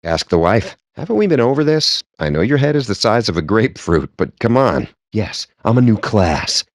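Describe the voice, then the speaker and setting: A smug, wry gamer man trading banter in a video game. His voice sits fairly low and moves with lively, expressive intonation. He is sardonic and verbose, relishing ornate words, and the sound is close and dry. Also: normal speed, dry recording. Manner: sardonic, wordy, theatrically articulate.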